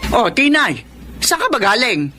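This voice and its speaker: A woman's voice: fairly high pitch, big pitch swings, normal speed, loud music behind the voice. An actress playing a weary, bitter middle-aged mother who scolds and complains, in radio drama style. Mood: weary, scolding.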